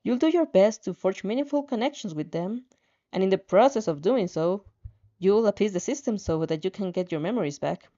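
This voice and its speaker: A woman's voice: medium pitch, expressive, fairly quick, warm tone, dull audio. A woman reading a story expressively, with casual, playful asides and the odd stumble over words. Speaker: fan fiction reader reacting as she reads.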